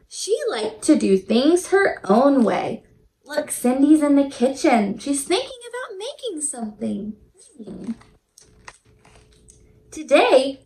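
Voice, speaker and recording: High, animated woman reading to children. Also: roomy sound, low-quality recording. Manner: sing-song, exaggerated enthusiasm, addressing children directly. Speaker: children's teacher and show host. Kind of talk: read-aloud storytime for young children.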